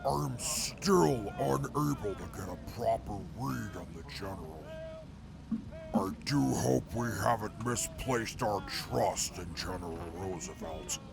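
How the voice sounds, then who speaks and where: A careful, formal soldier, voiced by a man in an audio drama. He speaks slowly with a low, gravelly grain while clear music plays. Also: lively intonation, exaggerated voice. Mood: doubtful. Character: cautious, reserved male soldier.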